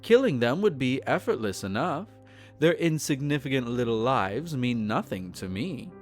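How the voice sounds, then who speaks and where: A man's voice: mid-range voice, sing-song, normal speed, soft background music, close to an everyday voice. A man playing a possessive, aristocratic male vampire in an intimate roleplay audio drama, speaking formally, soothing yet condescending and menacing. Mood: coolly pleased.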